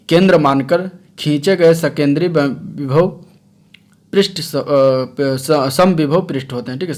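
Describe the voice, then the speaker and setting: A man teaching science for exam preparation, explaining from notes in a didactic way with frequent check-in tags. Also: a little high. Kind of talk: explanatory lecture read from notes.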